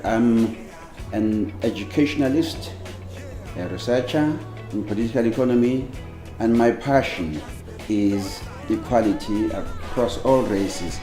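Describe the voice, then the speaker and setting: A researcher, a man, speaking deliberately with pauses in an interview. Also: fairly low pitch, echoey room, music in the background. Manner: deliberate, pausing. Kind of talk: talking-head interview.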